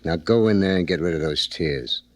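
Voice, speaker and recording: An actor playing a hard-boiled, curt criminal smuggler in a 1940s radio crime drama. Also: male voice, deep voice, normal speed, dry recording, natural voice. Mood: smooth, assured. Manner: curt, tough, slangy. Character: hard-boiled, domineering criminal smuggler, smooth-talking with women. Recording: noisy old 1940s radio recording.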